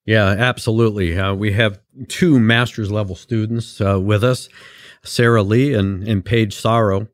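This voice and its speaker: A man's voice: lowish voice, steady tone, measured pace, no room sound. A man co-hosting a podcast in a university radio studio, chatting genially and rambling with many fillers. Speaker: podcast co-host. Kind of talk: casual podcast chat.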